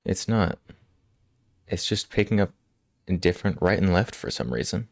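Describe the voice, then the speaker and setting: A male livestream host chatting casually with viewers, slightly hoarse from a cold, relaxed and quick to laugh. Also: low voice, dry recording, studio quality. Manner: relaxed, laughs often, answers chat. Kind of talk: casual chat with live chat. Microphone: studio microphone.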